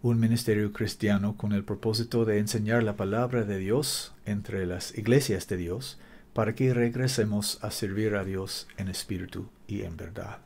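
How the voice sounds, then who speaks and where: A male preacher whose first language is English, teaching the Bible in a learner's Spanish, often halting and correcting himself. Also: fairly low pitch, fairly even intonation, creaky, a little mellow. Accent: English-speaking learner of Spanish. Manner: halting, self-correcting, apologetic about mistakes. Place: Costa Rica.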